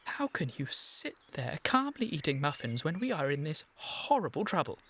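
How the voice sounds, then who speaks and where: An earnest, easily exasperated Victorian gentleman in a radio comedy. His British voice sits a little high and speaks in formal, precise period diction, over some background noise. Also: sing-song, normal speed. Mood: exasperated, indignant. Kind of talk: scripted radio comedy acting.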